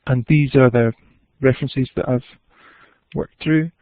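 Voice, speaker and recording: Flat-voiced man on a tinny line. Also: medium pitch, a little slow, creaky, no room sound. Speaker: webinar presenter. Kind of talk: presentation and Q&A. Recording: tinny phone-like audio.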